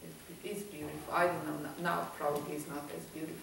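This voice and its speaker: A woman's voice: low voice, level tone, quick pace, creaky, fairly bright tone. A woman from Angola, giving her personal testimony, who laughs often and searches for English words. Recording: echoey room, distant mic, faint noise.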